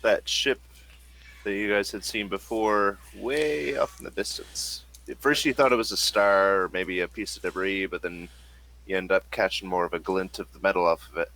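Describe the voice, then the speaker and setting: A man with a fairly low, forceful voice runs a tabletop role-playing game. He speaks casually and haltingly as he describes scenes and asks players for rolls. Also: fairly even intonation. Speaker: game master.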